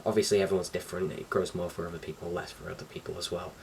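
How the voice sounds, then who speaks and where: Low-voiced young man, chatting casually. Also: little pitch movement, very fast, gravelly. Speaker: vlogger. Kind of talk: casual chat to camera. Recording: distant mic with faint background noise.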